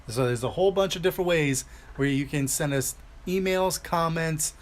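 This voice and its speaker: A man's voice: on the high side, moderate pace. A man hosting a casual talk podcast, upbeat and teasing as he reads announcements and needles his co-host. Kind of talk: casual chat.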